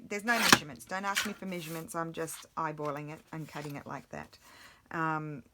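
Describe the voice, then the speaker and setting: A woman vlogging while she crafts, chatting casually and thinking aloud, her voice a little croaky from the morning. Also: low voice, background noise. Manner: rambling, self-interrupting, thinking aloud.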